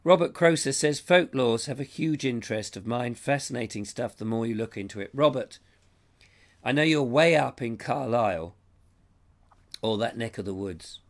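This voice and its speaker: A middle-aged English man hosting a live stream, chatty and rambling, his voice strained by a cold and fading out. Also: mid-range voice. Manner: rambling, chatty, reads comments aloud. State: has a cold, losing his voice. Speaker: show host. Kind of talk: live-stream chat reading viewer comments. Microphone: lapel mic.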